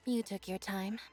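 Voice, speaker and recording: A woman playing an aloof, laconic young magician's assistant in an anime-style game, with a deadpan, terse delivery. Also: medium pitch, lightly acted. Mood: cool.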